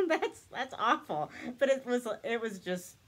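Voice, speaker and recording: A woman's voice: on the high side, big pitch swings, measured pace. A young woman chatting casually to camera in a small room, stuffed up with a cold, rambling and laughing often. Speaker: book and craft vlogger. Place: small room indoors, seated before a cabinet.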